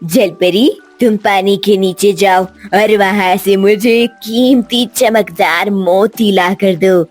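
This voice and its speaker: A sly, bloodthirsty ghost woman from a cartoon, as a female narrator puts her on. She speaks in slow, drawn-out commands, with music playing behind her. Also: medium pitch, fairly even intonation, darkish tone. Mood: commanding. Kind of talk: cartoon storybook voice acting.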